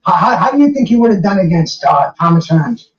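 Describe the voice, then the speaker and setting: A man's voice: high voice, very animated, fairly quick. Older guy host, kinda far off in an echoey room, stammers n repeats, chatty fan style. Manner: stammering, repeats words, admiring. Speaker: host interviewer, boxing fan. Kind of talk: casual chat interview. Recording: muffled, roomy, distant from the mic.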